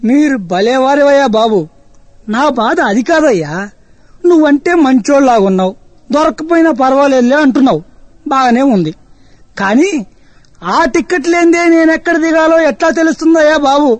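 An actor playing a gentle, forgetful old man who speaks slowly and mildly, always bewildered. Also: very high-pitched, animated, no room sound. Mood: muddled. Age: older. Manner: slow, mild, bewildered.

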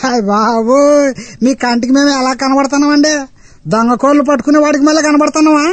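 A woman voicing a touchy, talkative rustic man with a North Coastal Andhra accent, protesting loudly at being suspected. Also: sits high, steady tone, quick pace, dry recording, lightly acted. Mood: indignant. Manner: offended, protesting, long drawn exclamations.

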